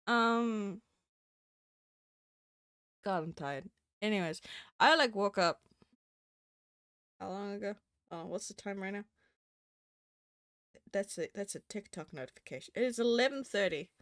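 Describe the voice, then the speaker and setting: A chatty woman streamer who giggles often and is a little sniffly from a cold. Her voice sits at a middle pitch and sounds close and dry on a desk mic, with no room around it. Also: a little slow, long pauses, fairly bright tone, no room sound. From Southern Hemisphere. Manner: chatty and giggly, many fillers. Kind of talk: casual live-stream chat. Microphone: desk microphone.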